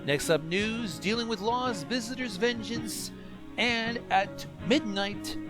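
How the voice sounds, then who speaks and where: A man's voice: high voice, takes it slowly, music in the background. A man co-hosting a reaction show, chatting casually and reading on-screen text aloud.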